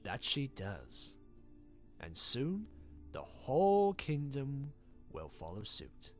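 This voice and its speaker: A man's voice: mid-range voice, huge pitch swings, slow pace, soft background music, heavily acted. A man voicing a scheming, cruel villain pony in a cartoon, theatrical and taunting as he savors his words. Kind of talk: animated fan drama villain acting.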